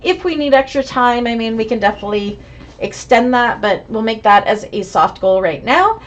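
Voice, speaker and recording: A woman's voice: fairly high pitch, lively intonation, moderate pace. A woman teaching a live online sewing class, chatty and encouraging as she answers viewer comments. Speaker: sewing instructor.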